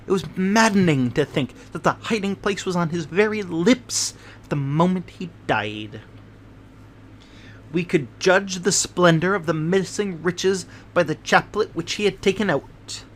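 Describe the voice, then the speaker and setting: Fussy, curious little man voiced by a male reader, audiobook style. High-pitched, mannered, effusive. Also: fairly bright tone, slight background noise. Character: fussy, curious little man telling his family's story. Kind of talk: audiobook character narration.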